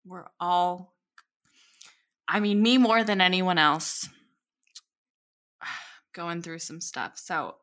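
A woman's voice: on the low side, drawn-out words, long pauses, fairly bright tone. A woman playing an awkward, put-upon young woman giving a casual in-character podcast outro, hesitant and aggrieved. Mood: resigned. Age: young adult. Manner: hesitant, aggrieved, rambling, sighing.

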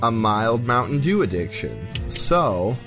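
A man in his mid-twenties banters casually at a game table. His pitch jumps around in a lively way. Music is clearly audible behind him. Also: takes it slowly.

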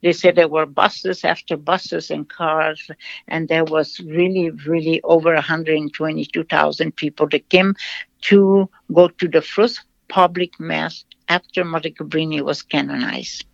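A woman from Brazil with a Brazilian accent, a nun answering interview questions with stories, in a halting way that repeats phrases. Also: low-pitched, fairly even intonation, creaky, dry recording. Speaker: nun, shrine representative. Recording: dull lo-fi sound, dry.

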